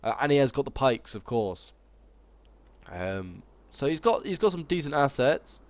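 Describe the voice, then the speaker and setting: A man's voice: medium pitch, sing-song, moderate pace. A man with a cold, sounding snuffly, casually commentating a battle replay with wry asides and frequent ums. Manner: chatty and wry, with frequent ums and self-corrections. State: stuffy with a cold. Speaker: game channel host commenting a battle replay.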